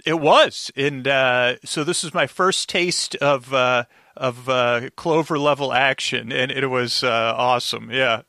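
Bright-voiced man rambling in casual conversation. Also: mid-range voice, no room sound, clean studio sound. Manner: halting, rambling, circles back mid-sentence. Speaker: podcast guest, podcast creator. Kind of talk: casual interview chat, storytelling.

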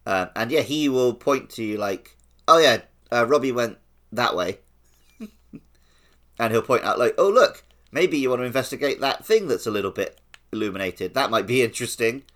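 A man's voice: medium pitch, animated, moderate pace, a bit bright. A man speaking casually and informally as a podcast co-host in a gaming discussion.

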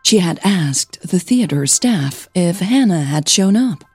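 Low, husky woman narrating slowly. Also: lively intonation, darkish tone, no echo, soft background music. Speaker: podcast host and narrator. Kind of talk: scripted audio fiction narration.

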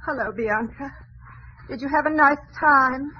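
An actress playing a gentle, motherly older woman in a 1940s radio drama on a dull old broadcast recording. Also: sits high, measured pace, slight background noise. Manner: soothing, gentle.

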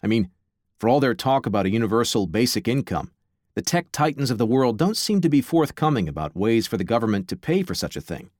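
A man's voice: mid-range voice, big pitch swings, fairly quick, a little mellow, no room sound, clean studio sound. A man reading aloud from his own book on a podcast, with wry conversational asides.

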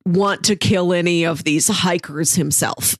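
A woman's voice: fairly low pitch, a little slow, no room sound, clean studio sound. A woman co-hosting a podcast, talking in a casual, analytical way. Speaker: podcast co-host.

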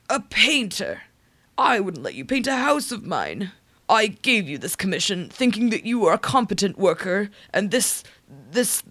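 Woman playing a gravelly, blustering old uncle. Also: medium pitch, fairly bright tone, full character voice. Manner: emphatic, halting repetitions when indignant. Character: blustering, irascible old rich uncle, scornful of art. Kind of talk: comic radio drama acting.

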